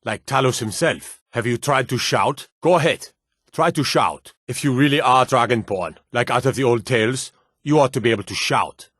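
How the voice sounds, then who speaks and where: A man's voice: medium pitch, normal speed, fairly bright tone, breathy edge, no echo, studio quality. A man playing an earnest, superstitious Nord town guard and soldier in a fantasy video game, speaking in a plain, hardy way. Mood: awed.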